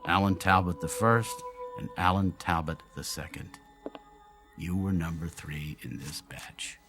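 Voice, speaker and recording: A man's voice: low voice, steady tone, a little slow, over music. An actor in a radio drama playing a brilliant, lonely male inventor who explains himself in theatrical, brooding monologues. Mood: proud, intense. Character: brilliant, lonely, self-doubting male inventor of an android double.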